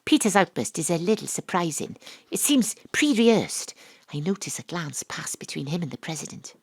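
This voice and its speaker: A woman's voice: on the low side, sing-song, moderate pace, a little mellow, husky, heavily acted. An actress playing a dry, sardonic woman detective who questions suspects and narrates her case in an audio drama. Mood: wry, confiding.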